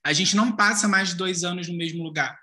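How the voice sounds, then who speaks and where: A man's voice: sits high, quick pace, bright, forceful tone. A Brazilian man co-hosting a talk show, giving his opinions in casual chat. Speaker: co-host.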